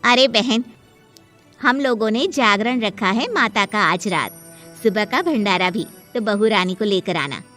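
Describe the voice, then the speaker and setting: A woman's voice: mid-range voice, lively intonation, measured pace, fairly bright tone, faint music behind. A woman as a chatty, insistent neighbour lady. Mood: friendly, insistent. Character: friendly, helpful middle-aged neighbour woman, chatty and insistent.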